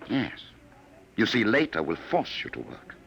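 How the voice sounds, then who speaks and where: An actor in a 1950 radio drama playing a cold, patient, menacing male agent from the future who talks smoothly and quietly threatens. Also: lowish voice, big pitch swings, moderate pace, slight background noise. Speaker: Sims, time-travel enforcer.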